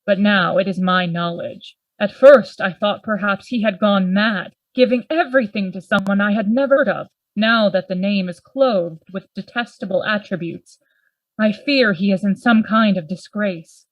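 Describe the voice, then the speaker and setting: A woman playing a grave, worried gentleman lawyer in a Gothic radio play, formal and earnest in manner. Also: mid-range voice, moderate pace, dry recording, lo-fi sound, close to an everyday voice. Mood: grave, indignant.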